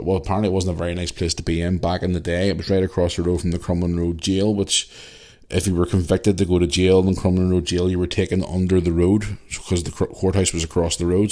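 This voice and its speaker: A man's voice: low voice, a little mellow. A man in his forties with a Northern Irish accent, hosting a podcast and answering a listener's email in a rambling, chatty way, full of fillers and restarts. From Northern Ireland. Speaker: podcast host. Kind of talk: casual solo podcast, reading listener email.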